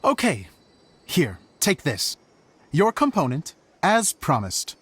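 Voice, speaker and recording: A man's voice: a little high, huge pitch swings, takes it slowly, a bit bright, breathy edge, no echo, heavily acted. A man playing a sentimental, theatrical show director in a game cutscene, speaking earnestly and expressively. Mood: playful. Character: theatrical, sentimental grown male show director, grateful dreamer. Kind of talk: animated game cutscene acting.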